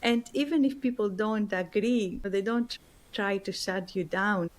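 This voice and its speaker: A woman's voice: medium pitch, a little slow. A woman from Greece with a Greek accent, an author answering interview questions in an earnest, heartfelt way and often repeating words as she searches for them. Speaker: guest author and spiritual teacher. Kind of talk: interview answers, personal story.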